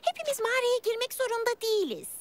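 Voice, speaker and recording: Very high-voiced brave little girl. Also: animated, fast, noisy background. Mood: cheerful, confident. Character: brave, cheerful eight-year-old girl adventurer. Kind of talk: children's cartoon dubbing. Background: children's music.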